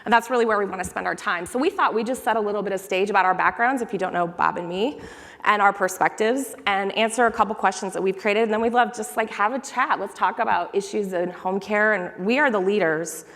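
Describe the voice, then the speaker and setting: A woman's voice: mid-range voice, fairly quick, a bit bright. A woman moderating a conference panel, chatty and upbeat, addressing the audience from the stage. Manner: upbeat, chatty, quotes lines playfully. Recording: long reverb of a large hall.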